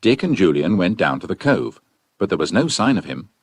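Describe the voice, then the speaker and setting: Low, husky, animated male narrator. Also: brisk, no room sound. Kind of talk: scripted audiobook narration.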